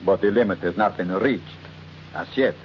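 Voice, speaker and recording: An actor playing a suave, menacing blackmailer with polite, mockingly formal manners in an old-time radio drama. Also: male voice, sits low, normal speed, lightly acted. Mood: smugly threatening. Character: suave, menacing male blackmailer living lavishly. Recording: muffled, noisy old radio recording.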